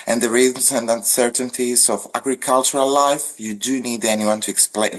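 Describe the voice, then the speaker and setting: A man's voice: mid-range voice, rough recording. A man from central Greece with a Greek accent, a legal scholar reflecting formally on his path as a podcast guest. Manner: measured, formal, lists ideas one by one.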